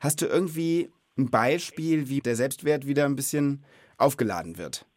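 A man's voice: mid-range voice, expressive, normal speed, a little mellow, dry recording. A man hosting a children's podcast in a casual, playful and informal chatting style. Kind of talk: casual, scripted-feeling podcast chat.

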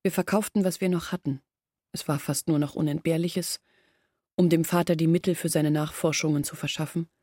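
A woman's voice: deep voice, fairly even intonation, a little fast, dark tone, no echo, clean studio sound. An actress playing a poor village woman confessing her family's hopeless decline in a literary radio drama monologue. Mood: sober, burdened. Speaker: narrating character in an audio drama. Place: dry studio.

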